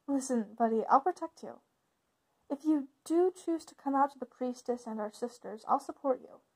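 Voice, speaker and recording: A woman's voice: sits high, moderate pace, a little mellow, poor audio. A woman acting as a caring, informal big sister and young witch, hesitant and reassuring, in a conversational ASMR roleplay.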